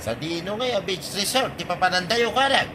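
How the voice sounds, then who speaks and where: An actor playing an exasperated, insistent village friend in an Ilocano radio comedy drama, with an Ilocano accent. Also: male voice, sits high, animated, moderate pace, noisy background. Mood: teasing. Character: nagging, exasperated male village buddy of the captain.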